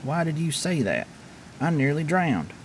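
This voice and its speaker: A man voicing a gullible, eager crow in an animal fable, with an excitable, exclaiming delivery. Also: a little high, measured pace, slight background noise, voice pushed up. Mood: eager, shaken.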